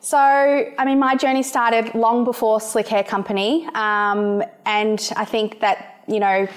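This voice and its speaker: Confident young Australian woman. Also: medium pitch. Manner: confident, reflective, many ums and "you know". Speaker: entrepreneur guest. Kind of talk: interview answers.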